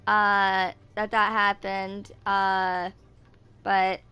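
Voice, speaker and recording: A woman in her twenties giving casual, rambling game commentary, thinking aloud in a halting, distracted way. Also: medium pitch, flat delivery, sharp tone, clear, resonant tone. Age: 20s. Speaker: gaming video creator.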